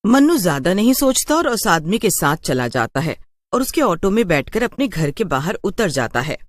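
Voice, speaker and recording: A woman's voice: fairly low pitch, big pitch swings, normal speed, no echo. A woman storyteller giving scripted narration of a horror tale.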